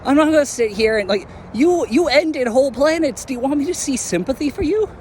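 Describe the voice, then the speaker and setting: A young man voicing an earnest former hero in an audio drama, talking in a reflective, halting way. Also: very high-pitched, lively intonation, a little mellow, a little hiss or hum. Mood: reproachful.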